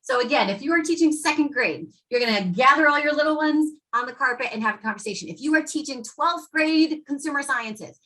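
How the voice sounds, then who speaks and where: A woman educator leading a workshop for teachers, talking with lively energy and acting out quotes from students and parents. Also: high voice, brisk, few pauses, low-quality recording. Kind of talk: presenting to educators.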